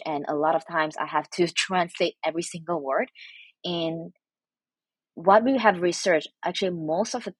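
Soft husky woman. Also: low voice, no room sound, rough recording. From Malaysia. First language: Mandarin Chinese. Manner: halting, many restarts and fillers. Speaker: guest. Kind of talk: interview answers.

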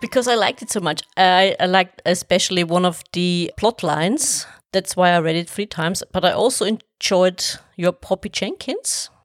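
A woman hosting a book podcast, speaking in measured, deliberate phrases. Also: lowish voice, no echo, studio quality, faint music behind. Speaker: podcast host. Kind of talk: podcast interview.

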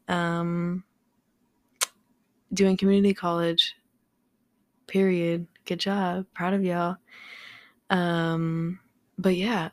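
Tired young woman, low and slow. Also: darkish tone, dry recording, studio quality. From Southern California. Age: young adult. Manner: rambling, swears freely, many ums. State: tired, slightly raspy late at night. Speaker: podcast host. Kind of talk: casual solo podcast chat. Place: home room with a fan running. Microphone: studio microphone without pop filter.

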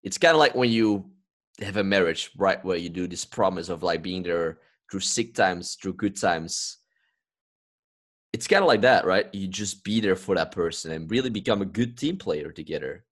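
A man's voice: low voice, moderate pace, fairly bright tone. A man from Belgium chatting casually as a podcast host, explaining his views in an earnest way. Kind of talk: casual conversational discussion.

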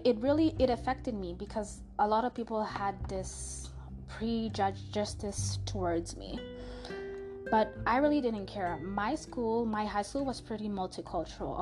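A young woman whose first language is French tells a personal story in a loose, chatty way, full of fillers and restarts. She speaks with a light French accent at a middle pitch. Music plays clearly underneath her. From Canada (Montreal). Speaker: podcast host.

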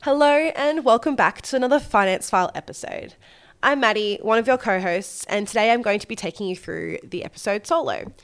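A woman's voice: fairly high pitch, brisk, clean tone. An Australian woman with a slight head cold, presenting a scripted solo podcast as co-host and mortgage broker. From Gold Coast, Australia.

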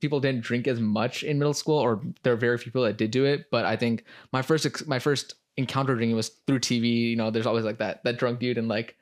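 Fast-talking young man. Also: medium pitch, steady tone. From United States. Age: young adult. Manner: quick, filler-heavy, says like often. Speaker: podcast co-host. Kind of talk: casual podcast chat.

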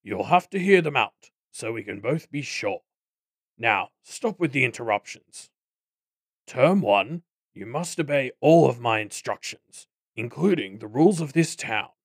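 A man voicing a pompous, menacing goblin boss in an audiobook, with wordy, deliberate speech. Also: mid-range voice, lively intonation, no room sound, studio quality. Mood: pompous, controlling. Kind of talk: audiobook character acting.

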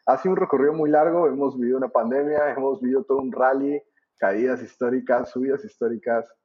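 A man from Colombia with a Colombian accent, in his thirties, answering interview questions in a relaxed way with frequent hesitations. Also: medium pitch, fast, husky, muffled recording. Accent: Colombian Spanish. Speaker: podcast guest, trader and educator.

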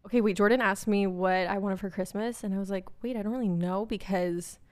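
A woman's voice: medium pitch, steady tone, fairly quick, a little mellow. A young woman in her twenties co-hosting a podcast, chatting casually at home with lots of "like" and frequent laughs. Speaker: podcast co-host. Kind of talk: casual chat. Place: indoors at home.

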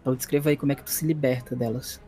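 A man's voice: mid-range voice, fairly even intonation, racing, mellow, faint music behind. A man with a Brazilian accent running a tabletop horror game, moving between dramatic narration and casual refereeing. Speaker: game master.

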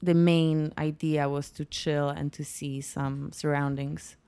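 A woman's voice: sits low, level tone, slow, mellow, smooth. A young woman from Poland with a Polish accent, chatting casually as a guest in a home kitchen and telling stories with many restarts. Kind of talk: casual chat. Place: home kitchen, music heard outside.

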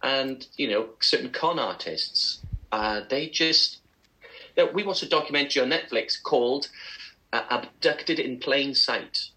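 A man's voice: mid-range voice, a little slow, bright, forceful tone, rough recording. A man co-hosting a podcast, chatty and agreeable, recounting documentaries he has seen. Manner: chatty, agreeable, laughs while telling stories. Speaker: co-host. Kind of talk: casual podcast chat.

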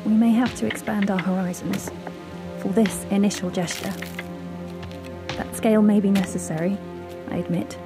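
A woman playing a thoughtful, conciliatory bird in an audio drama, speaking with deliberate hesitation. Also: medium pitch, steady tone, over music, natural voice. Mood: earnest, cautious. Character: measured, conciliatory, thoughtful female bird, a voice of caution.

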